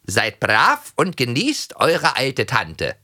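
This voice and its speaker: A man's voice: medium pitch, wildly swooping pitch, slow pace, sharp tone, dry recording, clean studio sound. A man co-hosting a podcast in casual, playful banter with mock-dramatic delivery. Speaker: podcast host. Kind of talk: casual chat and quiz with co-host.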